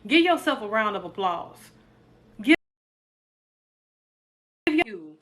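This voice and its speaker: A woman's voice: medium pitch, slow. A woman, hosting a casual live stream, reading out viewer comments and hyping up her chat. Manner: reads out viewer comments and hypes the chat, animated and playful.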